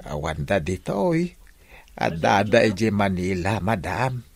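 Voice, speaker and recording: Slow, creaky-voiced humble old man. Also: lowish voice, animated, heavily acted. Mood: subdued, hesitant. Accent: Ilocano. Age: older. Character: humble old widowed father, gentle and hesitant. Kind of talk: Ilocano radio drama acting.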